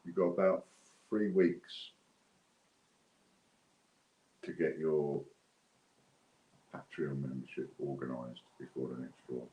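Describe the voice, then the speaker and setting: A man's voice: rumbling low, measured pace, dull audio. A middle-aged British man hosting an online giveaway stream, chatting casually to viewers in a rambling, unscripted way. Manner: rambling, unscripted, thinking aloud. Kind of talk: casual livestream chat to viewers. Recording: roomy echo with faint background noise.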